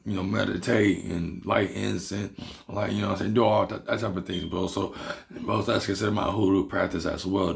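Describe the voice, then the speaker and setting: A man from Barbados with a Bajan accent, hoarse from losing his voice, explaining a topic in a rambling, repetitive casual monologue as a video host. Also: on the low side. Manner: rambling, repeats himself and restarts words. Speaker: hoodoo practitioner and video host.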